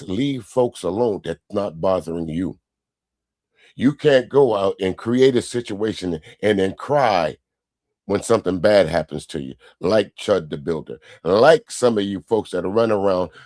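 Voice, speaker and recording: A man's voice: lowish voice, a little mellow, dry recording, clean studio sound. A man hosting a livestream, giving casual commentary and replying to viewer comments in an argumentative way with rhetorical questions. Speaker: livestream host.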